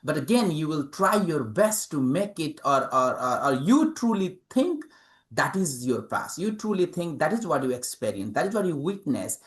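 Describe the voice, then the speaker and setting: A man's voice: on the high side, sing-song, moderate pace. Hesitant man with a Nepali accent. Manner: hesitant, many fillers and repeated words. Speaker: interviewed author and professor. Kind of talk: interview answers.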